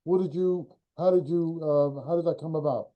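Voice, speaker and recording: A man hosting an interview, asking questions in a halting way while he searches for words. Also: a little high, fairly even intonation, dull audio. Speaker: podcast host. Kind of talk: casual interview.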